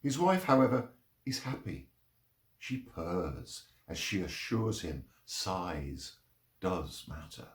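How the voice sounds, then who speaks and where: Male literary reader, unhurried, widely varied intonation, roomy acoustic. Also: low-pitched. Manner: measured, expressive storytelling delivery. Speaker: reader of poems and short stories. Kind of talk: literary reading aloud, performed narration. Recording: roomy, echoing sound.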